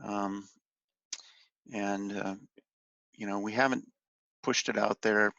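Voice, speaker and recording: A man with a fairly low, gravelly voice talks through a slide briefing on a conference call. His delivery is halting and informal, with phrases that trail off. The sound is roomy and echoey. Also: steady tone, bright, forceful tone, muffled recording. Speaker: industry association policy advocate briefing on legislation.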